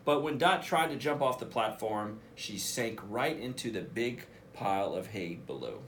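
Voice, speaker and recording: A man, a school principal, reading a picture book aloud for children in an expressive way, with casual asides. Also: mid-range voice, moderate pace, slight background noise. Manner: expressive, addresses children directly.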